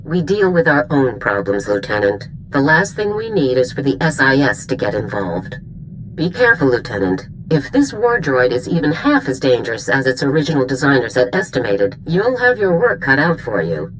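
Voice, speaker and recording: A stern woman general in a military game. Her voice is very low yet moves with forceful, varied intonation. She is formal and commanding, with some ambient noise behind her. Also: sing-song, normal speed, poor audio. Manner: authoritative, clipped, formal. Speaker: general briefing a soldier. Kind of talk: video game dialogue, military briefing.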